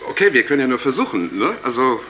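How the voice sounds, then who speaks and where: An actor playing an eager, insistent young man in a radio drama, speaking briskly on a lo-fi recording. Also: mid-range voice, big pitch swings, a bit bright. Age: young adult. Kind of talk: naturalistic radio drama dialogue. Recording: dull lo-fi tape, music underneath.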